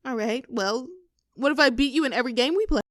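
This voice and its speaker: A woman's voice: sits high, fairly bright tone, dry recording, studio quality. A woman playing an affectionate, teasing girlfriend with a vulnerable streak in an intimate roleplay audio drama. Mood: playful, teasing. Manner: teasing, halting when earnest.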